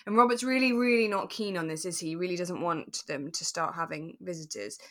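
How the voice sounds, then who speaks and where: Casting: female podcast co-host, lower register, thoughtful and tentative, casual conversational delivery. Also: a little fast. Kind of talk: relaxed casual discussion.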